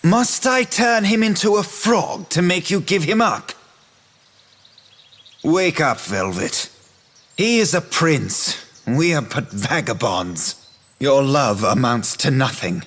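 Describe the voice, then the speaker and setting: An actor playing a bitter, proud young outcast brother in a fantasy game, scornful and dramatic with archaic phrasing. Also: male voice, on the high side, lively intonation, slow, a bit bright, heavily acted. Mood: scornful, commanding.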